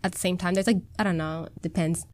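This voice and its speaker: A woman in her twenties from Minnesota, a podcast guest talking in a relaxed, hesitant way with many "um"s and "like"s. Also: on the low side, fairly quick. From Minnesota, USA. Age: 20s. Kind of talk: casual chat.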